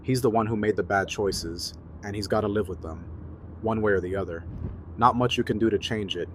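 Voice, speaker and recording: A man's voice: lowish voice, brisk, noisy background, close to an everyday voice. A man acting an easygoing, friendly buddy with casual, slangy talk in an audio drama. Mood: gentle, frank. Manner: casual, slangy, laid-back.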